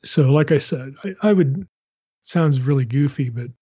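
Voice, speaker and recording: Tired husky man rambling softly. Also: mid-range voice, normal speed, dry recording, muffled recording. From Pennsylvania. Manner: rambling, many restarts and "you know" fillers. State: tired, low energy. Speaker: podcast host. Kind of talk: casual podcast chat. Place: sitting at a home desk.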